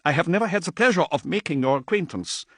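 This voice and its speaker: A man's voice: fairly high pitch, animated, fast talker, a bit bright, slightly rough, no room sound, studio quality, voice pushed up, exaggerated voice. A man voicing a nervous, overeager male messenger in an audiobook.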